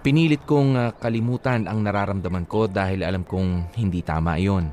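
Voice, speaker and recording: A man's voice: low-pitched, moderate pace, darkish tone, slight background noise. A Filipino man co-hosting a radio love-story show, talking and reading casually. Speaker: radio DJ co-host.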